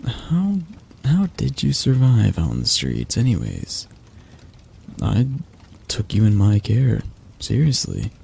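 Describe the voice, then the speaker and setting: Man playing a gravelly, low-voiced possessive mafia boss. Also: wildly swooping pitch, slow, mellow, heavily acted. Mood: possessive, condescending. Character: possessive, condescending male mafia boss, flirtatious and domineering. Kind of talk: intimate ASMR roleplay acting.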